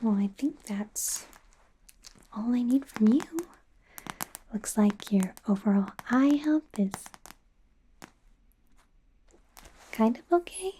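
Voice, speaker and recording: A woman playing an awkward, eager werewolf trainee doctor in a soft, breathy, hesitant whisper for an ASMR roleplay. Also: fairly high pitch, lively intonation, unhurried, long pauses, a little hiss or hum. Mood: matter-of-fact, playful. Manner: hesitant, rambling, giggly, stammering.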